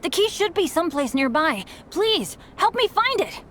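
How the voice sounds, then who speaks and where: An earnest boy adventurer for a video game. The voice is high and bright, very lively in its pitch, with an eager, apologetic manner. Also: moderate pace, a little hiss or hum, heavily acted. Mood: grateful, sheepish.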